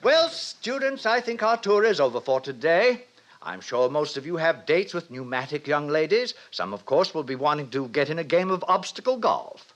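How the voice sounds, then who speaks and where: An actor playing a brisk, self-satisfied director who lectures young students pompously in 1950s radio drama style. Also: male voice, on the high side, very animated, fairly bright tone. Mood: grandly self-satisfied. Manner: pompous, didactic, declamatory. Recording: vintage 1950s radio recording.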